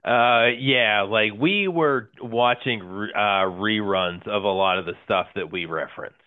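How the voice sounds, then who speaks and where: Low-voiced man over a tinny line. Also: measured pace, smooth, dry recording. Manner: hesitant, many "uh"s, easy laughter. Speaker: podcast co-host. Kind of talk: casual chat.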